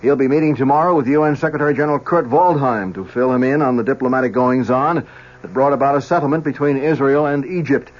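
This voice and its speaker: A man's voice: mid-range voice, fast talker, lo-fi sound. A man reading scripted 1970s radio news and announcements in a formal manner, on a vintage broadcast recording.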